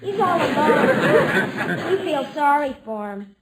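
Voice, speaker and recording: Very high-voiced little boy. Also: wildly swooping pitch, slow, over a noisy crowd, very noisy, exaggerated voice. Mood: curious, earnest. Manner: blunt, innocent questioning. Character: earnest, inquisitive, polite little boy. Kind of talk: 1950s radio comedy acting.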